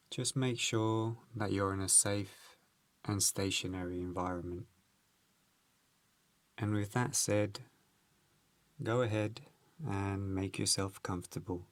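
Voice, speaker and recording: A man with a low voice guides a meditation in short, measured phrases. He speaks very slowly and gently, leaving pauses between instructions. The sound is dry and close, with no room around it. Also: no room sound.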